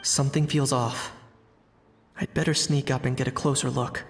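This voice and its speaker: A man voicing a gravelly, inquisitive sleuth. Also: mid-range voice, flat delivery, normal speed, faint music behind, close to an everyday voice. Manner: thoughtful, inquisitive inner monologue. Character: shrewd young man investigating smugglers. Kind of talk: game narration and monologue.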